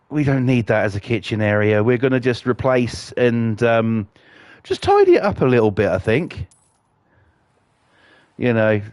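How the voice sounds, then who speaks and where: A mid-pitched man with a cold chats away while he streams, rambling and full of fillers. He swears casually as he talks. The sound is close and dry. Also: darkish tone, no room sound. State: has a cold. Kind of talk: casual game stream chat.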